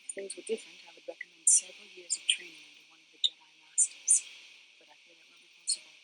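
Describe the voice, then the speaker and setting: A woman's voice: on the low side, fairly even intonation, fast talker, background noise, close to an everyday voice. A woman playing a proud, earnest young Jedi with guarded feelings in a video game, speaking in a formal, lecturing way. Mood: concerned, stern. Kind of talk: dramatic video game dialogue acting.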